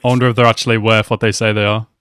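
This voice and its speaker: A man's voice: lowish voice, little pitch movement, very fast, fairly bright tone, slight background noise. A young man casually reacting to an anime episode, chatty and swearing a lot, calling the characters bro. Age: young adult. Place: small room at home. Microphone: headset mic.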